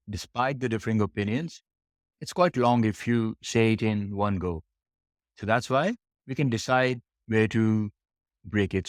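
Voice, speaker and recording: A man's voice: medium pitch, no room sound, studio quality. A man teaching a test preparation lesson, explaining step by step and repeating phrases for emphasis. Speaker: English test preparation instructor.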